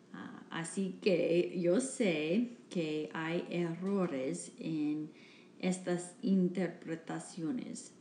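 Very slow, halting woman in an echoey room. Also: lowish voice, mellow. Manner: halting, hesitant delivery with restarts. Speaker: podcast host and interpreter. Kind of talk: reading a Spanish interpretation of a church talk. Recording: echoey room with faint background noise.

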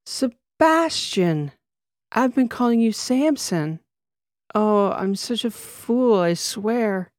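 Guy voicing a loud drunk rich woman, slurry and whiny, swoops up and down, slow. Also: high voice, lively intonation, dry recording, studio quality, voice pushed up. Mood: drunk pleading. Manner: slurred, wheedling, drawn-out words. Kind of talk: audiobook character voice.

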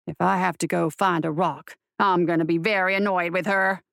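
A woman voicing a gruff, weary male marshal in an audiobook, grumbling in a dry, wry way. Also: fairly low pitch, fairly even intonation, normal speed, fairly bright tone, no echo, pitched below the performer's own voice. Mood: annoyed. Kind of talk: audiobook character voice.

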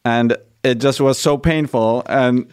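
A man's voice: mid-range voice, fairly even intonation, a little slow, a little mellow, clean tone. A man from Argentina, a priest hosting a podcast interview, telling stories in a chatty way with many fillers and restarts. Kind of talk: casual interview.

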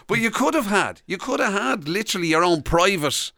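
An Irish man with a fairly high, bright voice co-hosts a radio show. His intonation swings in a lively way as he teases through casual banter. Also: normal speed, vocal fry, no echo, studio quality. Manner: teasing.

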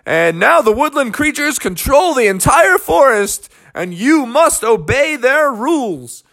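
A man's voice: very high-pitched, sing-song, unhurried, vocal fry, a bit bright, voice pushed up, exaggerated voice. A man voicing a matter-of-fact, apologetic referee in a children's story, announcing breezily. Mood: breezy, official.

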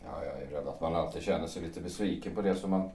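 Guy playing a wry tipsy world-weary man, low voice, rambling, period radio play in a roomy space. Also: mellow, lightly acted. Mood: tipsy, disillusioned. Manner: rambling, ironic, hesitant. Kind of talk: audio drama acting of a period stage play. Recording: roomy, distant microphone.

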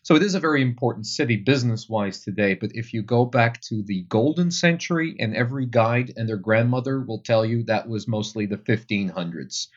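A man's voice: medium pitch, fairly quick, hardly any pauses, lo-fi sound. A young Flemish man, a Dutch speaker, answering interview questions about tour guiding thoughtfully, with many fillers. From Belgium. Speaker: tour guide guest.

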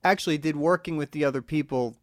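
Smooth-voiced podcast host asking questions. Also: male voice, a little high, quick pace, no echo, studio quality. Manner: hesitant, rephrasing questions. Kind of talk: casual interview questions.

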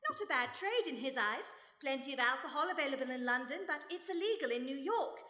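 Lady sleuth for radio play: high, bright, wry and unflappable, echoey stage sound. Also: expressive, a little fast. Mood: reflective, assured. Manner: breezy, coolly polite, wry. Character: plucky, unflappable, wry lady detective, a mother. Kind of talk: theatrical radio play acting. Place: stage before a live audience. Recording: echoey live stage recording.

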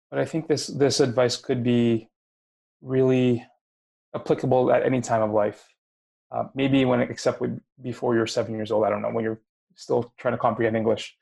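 Gravelly-voiced young man, Filipino-accented host. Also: mid-range voice, darkish tone. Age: late 20s. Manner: searching for words, many fillers and restarts. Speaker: podcast host. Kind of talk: casual interview chat.

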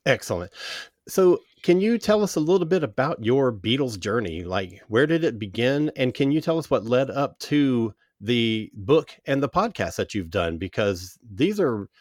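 A man's voice: medium pitch, very animated, normal speed, darkish tone, no room sound. Man hosting, dry close sound. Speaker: podcast host. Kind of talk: hosting a podcast interview.

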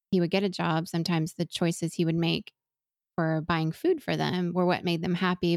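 A woman's voice: fairly low pitch, a little mellow, dry recording, studio quality. A woman in her forties who grew up in Venezuela, talking earnestly and thoughtfully as an interview guest, often restarting her sentences. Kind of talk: reflective interview conversation.

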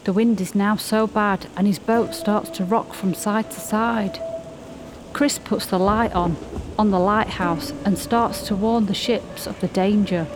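A woman's voice: mid-range voice, steady tone, a little slow, noisy background. A woman reading scripted storybook-style narration as the narrator of a community radio play. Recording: music playing behind the voice. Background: music.

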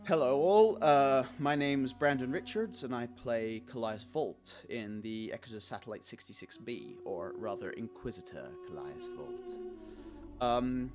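A male voice actor giving an informal, halting testimonial about himself. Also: mid-range voice. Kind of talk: informal self-introduction testimonial. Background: faint music.

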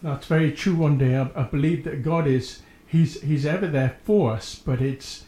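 Mellow male host in an echoing room. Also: on the high side, moderate pace. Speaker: host of a religious radio programme. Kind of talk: reflective faith discussion. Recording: roomy, echoing sound.

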